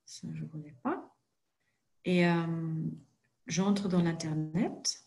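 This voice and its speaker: A woman walks through a screen-share tutorial in a fairly low voice. She speaks in a very slow, careful way and fills her pauses with frequent hesitations. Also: vocal fry, darkish tone. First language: not French. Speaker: presenter for a genealogy organization.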